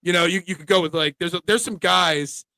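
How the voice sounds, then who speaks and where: A man's voice: high voice, a little fast, gravelly, pushed and bright, no room sound, rough recording. A man hosting a casual sports betting preview show, chatting with his co-hosts.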